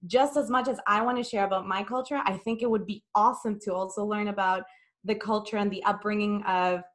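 A young woman from El Salvador speaks in a webinar over a video call. She talks earnestly and fills her pauses with ums, at a medium pitch. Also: steady tone. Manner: earnest, with fillers. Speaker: presenter. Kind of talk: webinar presentation.

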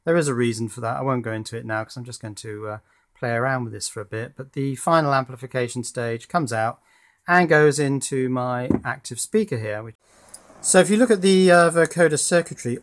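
A man presenting an informal hands-on electronics demonstration in a matter-of-fact, explanatory way. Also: mid-range voice.

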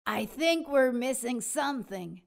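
A woman's voice: high-pitched, animated, unhurried, vocal fry, full character voice. A woman voicing a cool, upbeat male cat pirate captain in a children's storybook. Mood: cheerful, hearty. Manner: friendly, upbeat calling out.

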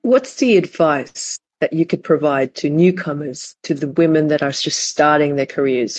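A woman's voice: deep voice, a little mellow. A woman hosting an online panel on a headset, affirming the speakers and asking questions in a conversational way. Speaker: panel host. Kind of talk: moderating a panel discussion. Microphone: headset on video call.

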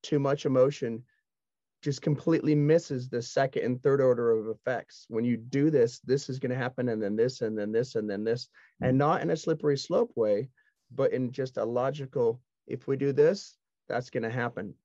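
Middle aged guy explaining stuff earnestly, average pitch, slightly muffled call audio. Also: normal speed, warm tone, no echo. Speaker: discussion panelist. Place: indoors, dark backdrop. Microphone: headset on video call. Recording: dull video call audio.

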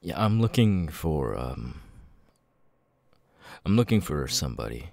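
A man voicing a weary, homeless young ex-convict with tics, halting and stammering in an ASMR audio roleplay. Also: rumbling low, big pitch swings, vocal fry, a little mellow, dry recording, full character voice. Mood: weary, hesitant. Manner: halting, stammering, with abrupt repeated-word tics. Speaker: voice actor. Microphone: close microphone, dry.

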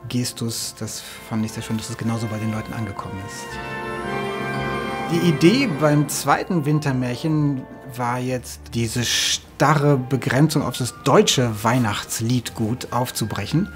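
Man commenting over background music. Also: medium pitch. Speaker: music arranger and composer. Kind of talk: interview commentary about a music production. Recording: music underneath.

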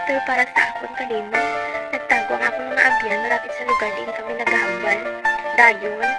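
A woman's voice: on the high side, lightly acted, rough recording. A young woman playing an earnest, determined daughter turned advocate in a student radio drama. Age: young adult. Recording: loud music under the voice.

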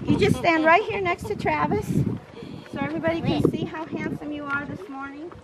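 A woman pastor in her sixties leading an outdoor service, projecting with deliberate phrasing, recorded on a camera from a distance. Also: high-pitched, fairly even intonation, heavy background noise. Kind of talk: outdoor church service, communion liturgy and informal asides. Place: open grassy campground, outdoors. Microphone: camera several metres away.

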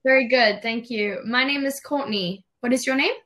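Young South African woman tutor, slightly high voice. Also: moderate pace, a bit bright. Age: young adult. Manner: simple questions to a learner. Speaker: online English tutor. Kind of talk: casual tutoring conversation. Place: indoors in a room, on a video call. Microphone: webcam video call.

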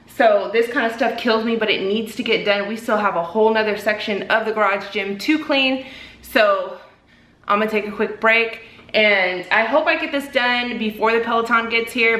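A woman's voice: mid-range voice, sharp tone. A woman in her thirties vlogging casually to the camera from her home garage gym, out of breath from cleaning, chatty and frank. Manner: chatty, frank, talks with hands. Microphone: camera on tripod, close. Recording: echoey bare garage.

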